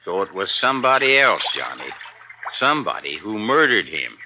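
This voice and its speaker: A man's voice: lowish voice, big pitch swings, normal speed, noisy background. An actor playing a man helping a detective, in 1950s radio drama style. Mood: grave. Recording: dull vintage 1950s radio recording.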